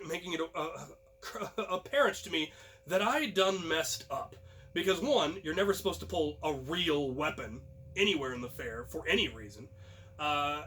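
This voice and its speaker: A man telling a personal anecdote casually, in an animated storyteller's way. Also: a little high. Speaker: storyteller, host of a story series.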